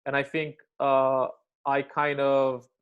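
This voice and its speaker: A man from Romania, a comedian whose first language is Romanian, chatting casually and briskly as a podcast guest, with lots of fillers. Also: medium pitch, monotone, clean tone, phone-quality sound.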